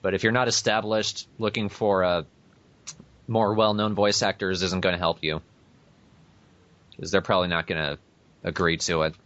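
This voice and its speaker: A man's voice: sits low, little pitch movement, normal speed, pauses often, a bit bright. A man hosting a podcast in casual chat with a dry, blunt manner, recorded on a dull lo-fi voice chat. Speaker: podcast host.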